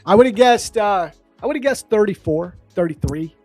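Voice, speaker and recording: A man's voice: sits high, expressive, moderate pace, a little mellow, faint music behind. A man of thirty-one in casual chat as a stream co-host, informal and agreeable with easy slang. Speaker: live trading stream co-host.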